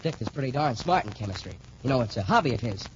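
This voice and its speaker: A man's voice: mid-range voice, lively intonation, quick pace, close to an everyday voice. Man playing plain-spoken cadet, muffled old radio. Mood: explaining. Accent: American. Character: young male military academy cadet. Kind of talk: 1940s radio drama acting. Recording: muffled, noisy 1940s radio recording.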